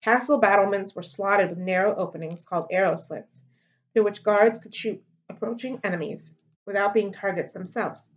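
Woman in her 30s, library read-aloud host, steady storybook narration, medium pitch, muffled lo-fi webcam mic. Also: moderate pace. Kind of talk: reading a children's novel aloud. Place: living room at home. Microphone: webcam on a desk.

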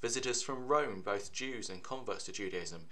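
A man, an earnest vicar giving a steady sermon from his living room to a webcam. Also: fairly low pitch, moderate pace. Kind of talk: reflective sermon to camera. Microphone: webcam at desk.

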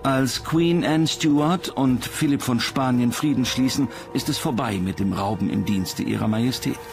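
A man's voice: medium pitch, moderate pace, vocal fry. A man reading scripted documentary narration as the narrator. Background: music behind the voice.

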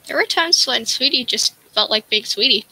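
Bright, husky teenage girl. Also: a little high, expressive, normal speed, no room sound, low-quality recording. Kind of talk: reading a picture book aloud. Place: indoors on a video call.

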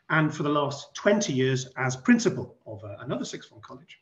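A middle-aged man from West Wales giving an explanatory online presentation as a college head, posing rhetorical questions and repeating points for emphasis. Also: medium pitch. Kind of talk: online presentation to prospective families. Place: online video call. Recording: dull band-limited video call audio.

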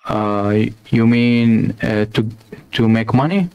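Low gravelly guy from iraq, laid back video chat. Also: slow pace, highs cut off. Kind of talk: casual chat.

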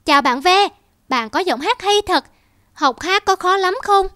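A woman's voice: very high-pitched, lively intonation, unhurried, bright, forceful tone, no echo, studio quality, full character voice. A woman voicing a lonely, naive donkey in a cartoon fable, plaintive and earnest. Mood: admiring, eager. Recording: music under the voice.

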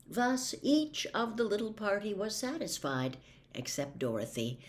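A woman's voice: mid-range voice, measured pace. Older woman reading a classic aloud. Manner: soft, expressive, shifting tone with the story. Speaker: storyteller. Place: home room with bookshelves.

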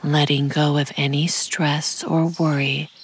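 A woman narrating a guided meditation in a calm, soothing, measured way, with a soft, breathy voice that often sinks to a near-whisper. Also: low-pitched. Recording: birdsong and forest ambience behind. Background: birdsong, insects, nature ambience.

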